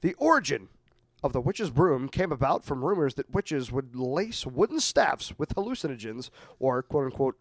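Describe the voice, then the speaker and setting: A man's voice: mid-range voice, sing-song, moderate pace, no echo. A man hosting a casual podcast, reading quiz facts with mock drama and joking with his co-hosts. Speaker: podcast host.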